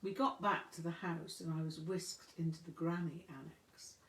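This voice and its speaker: A woman narrating a memoir from a script with measured, deliberate phrasing. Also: low voice, fairly even intonation, a bit bright. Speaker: audiobook reader. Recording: echoey room.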